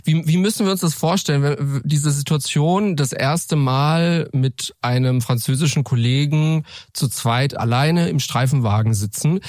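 The host's chair of a podcast in a deadened little studio: a man with a fry-laden voice, leaning into his next question. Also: mid-range voice, a little mellow, dry recording. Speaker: host, interviewer. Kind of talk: conversational interview questions.

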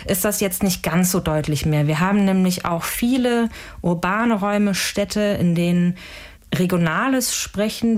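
A woman's voice: fairly low pitch, a little mellow. A woman researcher giving careful, analytical answers in a radio interview, often framing points as rhetorical questions. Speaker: language researcher, interviewed expert.

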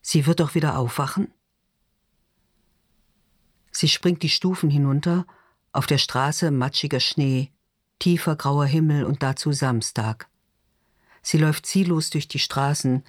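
A man's voice: fairly high pitch, unhurried, dry recording, studio quality. A man narrating literary fiction in a scripted audiobook reading style.

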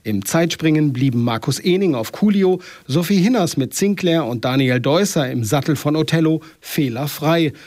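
A man's voice: fairly high pitch, animated, moderate pace, hardly any pauses, darkish tone, dry recording. A man reading a scripted sports report for a news broadcast.

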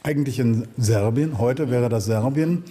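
A man's voice: mid-range voice, measured pace, mellow. A middle-aged man interviewing a guest in a casual, conversational way, phrasing questions informally and thoughtfully. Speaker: interviewer, journalist.

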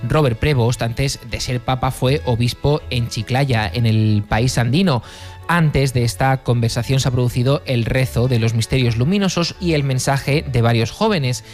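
Male reporter filing a steady news piece. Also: mid-range voice, moderate pace, soft background music.

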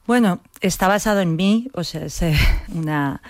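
Reflective woman with a clear, smooth voice. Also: on the low side, moderate pace, darkish tone, no echo. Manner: reflective, often seeks agreement with tag questions. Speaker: interview guest, playwright. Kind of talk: reflective conversational interview.

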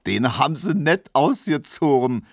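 A man acts out a mirthful, teasing male colleague from a storybook. His exclamations rise high and swing freely, colloquial and jeering, in a dry recording. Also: big pitch swings, measured pace, creaky, no echo, exaggerated voice.

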